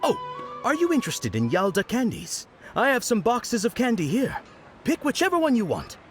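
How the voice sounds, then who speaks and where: A woman's voice: a little high, huge pitch swings, normal speed, over music. A woman playing an upbeat, teasing candy vendor at a festival in a video game, friendly and salesy. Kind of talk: animated video game voice acting.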